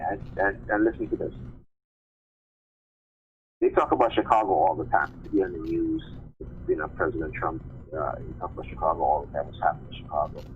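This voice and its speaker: A man talking casually as a live stream host, insistent, often posing questions and checking in with short tags. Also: fairly low pitch. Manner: insistent, rhetorical questions and repeated check tags like "right?" and "okay?". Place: remote call-in on a live stream. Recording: muffled phone-line audio with clear noise.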